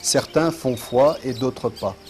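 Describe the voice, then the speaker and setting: A man voicing a calm, pious and patient prophet in a religious cartoon, speaking in a measured, solemn, instructive way. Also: medium pitch, lightly acted. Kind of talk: dubbed religious cartoon acting. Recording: music under the voice.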